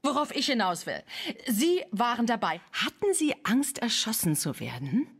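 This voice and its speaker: A woman playing a sensation-hungry talk show host in a satirical radio drama, husky, effusive and probing. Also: fairly high pitch, sing-song, normal speed, exaggerated voice. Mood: gushing, probing.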